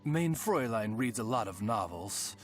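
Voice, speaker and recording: A man's voice: fairly low pitch, lively intonation, normal speed, faint music behind, natural voice. A man voicing a loyal talking bird familiar in a video game, formal and deferential with dry wit. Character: male talking bird familiar, loyal, dryly witty servant. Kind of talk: scripted game character voice lines.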